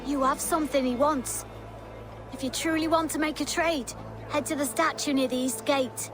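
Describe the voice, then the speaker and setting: A woman's voice: high-pitched, steady tone, normal speed, lightly acted. A woman voicing a cocky, scornful young street urchin in a video game, brash and bragging. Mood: businesslike. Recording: music and outdoor game sound behind.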